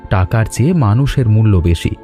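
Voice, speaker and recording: Man voicing an earnest, mellow young grandson. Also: lowish voice, expressive, a little fast, faint music behind, lightly acted. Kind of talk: animated story dialogue.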